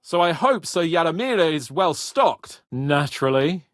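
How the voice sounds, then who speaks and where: A man's voice: on the high side, big pitch swings, moderate pace, fairly bright tone, no echo, studio quality. A man voicing a haughty, hot-tempered young nobleman with an imperious, theatrical manner in a medieval role-playing video game. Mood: brisk, lordly.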